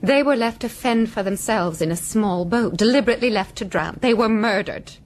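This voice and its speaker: A woman's voice: medium pitch, fairly quick, a little hiss or hum, lightly acted. An actress playing a composed, principled lady castaway, speaking politely and earnestly in a radio drama. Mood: earnest, reproachful.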